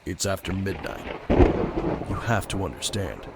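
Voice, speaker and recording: A man playing a brooding, stern vigilante detective in an audio drama, terse and grim with a gravelly voice. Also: sits low, animated, takes it slowly, very noisy, heavily acted. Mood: commanding. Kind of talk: audio drama narration and dialogue.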